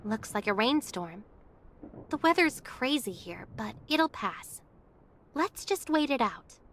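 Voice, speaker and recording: A woman's voice: a little high, big pitch swings, slow pace, a bit bright, slight background noise, exaggerated voice. A woman playing a confident, teasing but caring young female guide in an anime-style game. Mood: reassuring. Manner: breezy, teasing.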